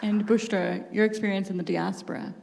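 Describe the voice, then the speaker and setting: A woman moderates a panel discussion. Her mid-range voice has noticeable creak and echoes in a hall. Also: medium pitch, steady tone, brisk, a crowd in the background, background noise. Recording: reverberant hall.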